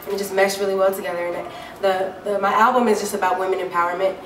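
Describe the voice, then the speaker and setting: A woman singer giving a casual interview, talking in a deep, low speaking voice with many easygoing fillers. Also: fast talker. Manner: relaxed and chatty, many fillers like "um" and "you know". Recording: echoey room, mic far off, clear background noise.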